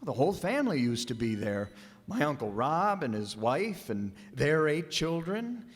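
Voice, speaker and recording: An actor playing a patient middle-aged father in a family radio drama, gently teasing and reasonable. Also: fairly high pitch, very animated, moderate pace, breathy edge. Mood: nostalgic. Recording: reverberant live hall.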